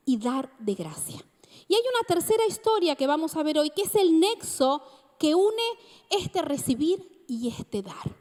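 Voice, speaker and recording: An Argentine woman with a faintly Mexican lilt preaches a sermon in a high, bright voice. She keeps drawing in her listeners with questions. The sound rings in a reverberant hall. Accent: Argentine Spanish with a touch of Mexican. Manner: engaging, asks the congregation questions.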